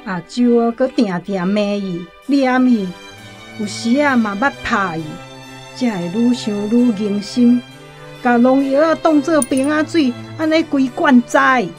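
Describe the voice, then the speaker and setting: A woman's voice: on the high side, a little slow, faint music behind, lightly acted. An actress with a Taiwanese accent playing an aggrieved woman lamenting a harsh marriage in a radio drama. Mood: anguished.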